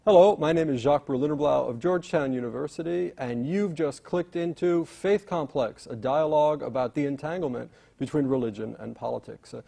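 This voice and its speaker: A man's voice: a little high, a little fast, gravelly, few pauses, mellow, breathy edge. A male academic hosting an interview show, giving a formal introduction and asking pointed questions. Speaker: host, professor.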